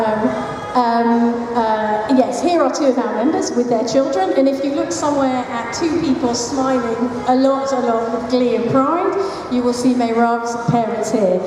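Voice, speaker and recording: Woman presenting in an echoey hall. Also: on the high side. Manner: chatty, self-deprecating, joking asides. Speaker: founder of a support group for single mothers. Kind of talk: conference presentation. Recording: echoey hall, mic heard from a distance, noisy.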